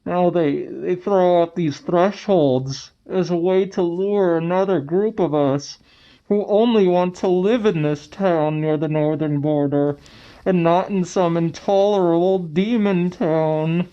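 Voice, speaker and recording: A man's voice: high-pitched, slow, dark tone, muffled recording, voice pushed up. A man voicing an aggrieved, paranoid townsperson in a weird-fiction audiobook, emphatic and complaining. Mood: aggrieved, alarmed. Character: aggrieved, paranoid lounger warning of demons.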